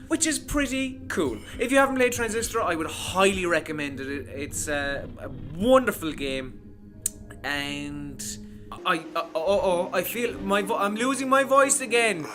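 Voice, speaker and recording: A man's voice: high voice, lively intonation, a little slow. A man giving a casual, rambling game review, with frequent restarts. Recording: music playing behind.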